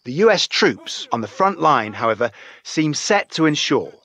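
A serious TV news anchor for a video game. He reads scripted bulletins formally and with measured emphasis. His pitch moves around a great deal, and the sound is very dry. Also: big pitch swings, sharp tone, no room sound, heavily acted. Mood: grave, formal.

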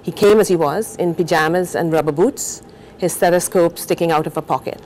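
An older woman from Guyana, a novelist, talking and reading aloud in a measured, thoughtful way on a TV studio set. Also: fairly low pitch, a little mellow, a little hiss or hum, low-quality recording. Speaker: novelist guest.

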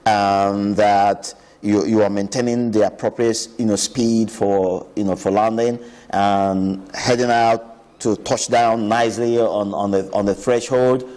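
A man's voice: on the low side, steady tone, unhurried, darkish tone, in a large hall. A man, an aviation expert explaining earnestly on a TV show, often repeating words. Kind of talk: explanatory TV interview.

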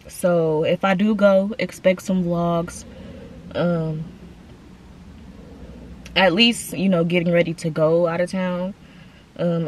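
Chatty young woman vlogging from a car. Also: on the low side. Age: 20s. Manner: chatty, slangy, addresses viewers as y'all. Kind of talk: casual chat to camera. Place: inside a parked car.

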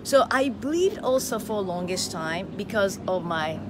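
A middle-aged Japanese woman with a Japanese accent, talking live to followers on a phone held at arm's length on an outdoor veranda, animated and asking her listeners questions. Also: medium pitch, unhurried, background noise. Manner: animated, exclaims, gestures with hands, asks listeners questions. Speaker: face yoga teacher. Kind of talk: live motivational talk to followers.

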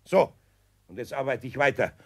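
An actor playing a patient, kindly older carpenter in a children's radio play. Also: male voice, medium pitch, expressive, normal speed, gravelly, husky.

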